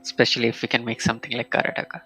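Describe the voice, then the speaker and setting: A man's voice: mid-range voice, monotone, very fast, creaky, bright, forceful tone, rough recording. A man giving casual live commentary as he plays a video game. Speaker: game streamer. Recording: faint game music behind.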